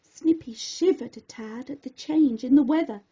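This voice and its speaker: A woman reading a rhyming children's bedtime story aloud in a theatrical, expressive way. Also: high voice, normal speed, slightly rough.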